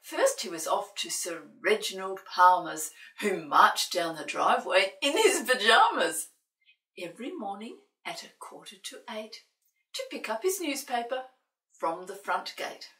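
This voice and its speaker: Older female storyteller for young children, highly animated pitch, playful sing-song questions, recorded in a roomy space away from the mic. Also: unhurried. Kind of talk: animated picture-book reading with asides to young children. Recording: roomy echo, distant microphone.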